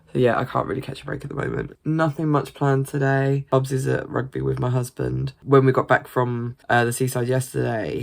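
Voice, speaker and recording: A woman's voice: extremely low pitch, creaky, darkish tone. A British woman chatting casually in a vlog, rambling and swearing freely, sounding a bit congested. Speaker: gaming vlogger.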